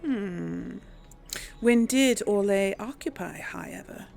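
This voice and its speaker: Female gaming host, informal and musing, expressive pitch, creaky edge, game music underneath. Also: slow pace. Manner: musing aloud, chatty, informal. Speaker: host of a role-play gaming video series. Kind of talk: casual game commentary mixed with in-character dialogue.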